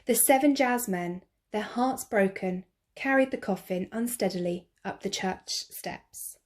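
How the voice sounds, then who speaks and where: Expressive woman reading a storybook. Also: medium pitch. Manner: slow, clear and expressive. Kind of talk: picture-book read-aloud.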